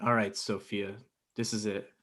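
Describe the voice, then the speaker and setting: A man's voice: on the low side, little pitch movement, normal speed, a bit bright, a little hiss or hum, close to an everyday voice. A man in his thirties playing a needy, wounded, blustering dumped boyfriend in a table read over a video call. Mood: pleading, hurt. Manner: pleading, blustering. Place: indoor room on a video call.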